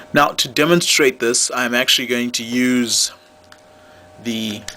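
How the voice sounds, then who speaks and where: A man's voice: mid-range voice, a bit bright, a little hiss or hum. A man giving an unscripted how-to demonstration as a seller, explaining things plainly, step by step. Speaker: product demonstrator and phone seller.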